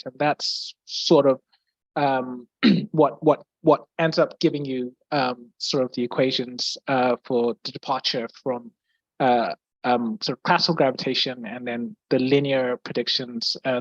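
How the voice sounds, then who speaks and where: A young man from Brazil with an English accent, a guest physicist answering questions on a video call, hesitant with many fillers and restarts and frequent throat clearing. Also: mid-range voice, fairly even intonation, a little slow, no room sound, muffled recording. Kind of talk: explaining physics in a Q&A.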